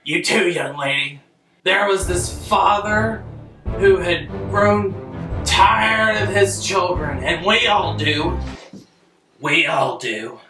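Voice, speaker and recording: A man's voice: high voice, slow, sharp tone, far from the mic, soft background music. A young man telling a comic bedtime story as a crass, theatrical father, recorded in an echoey bedroom. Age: young adult. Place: small bedroom, indoors. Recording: echoey room, off-mic.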